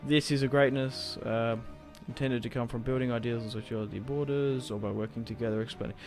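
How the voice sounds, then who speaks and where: A man's voice: mid-range voice, steady tone, brisk, over music. A young man casually commentating a strategy game he plays, chatty and rambling, swearing now and then. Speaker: gaming YouTuber. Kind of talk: casual let's-play commentary.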